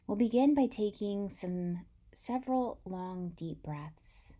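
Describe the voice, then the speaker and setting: A woman guiding a body-scan meditation in a gentle, soothing, unhurried way, softly breathy as phrases trail off. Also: mid-range voice, expressive. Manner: gentle, unhurried, soothing delivery with long pauses. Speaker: meditation guide.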